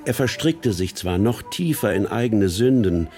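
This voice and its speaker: An actor playing a matter-of-fact narrator, telling a crook's story in clipped phrases for a radio play. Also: male voice, on the low side, animated, a little slow, mellow, soft background music. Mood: storytelling.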